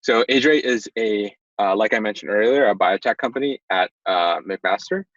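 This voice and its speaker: A young man in his twenties with a low voice talks through his work as a podcast guest, loose and rambling and full of fillers. The sound is dry and a little dull, like a modest home setup. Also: normal speed, dry recording. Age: 20s. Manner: relaxed, rambling explainer with many fillers. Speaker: guest, startup tech executive. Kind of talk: casual interview chat.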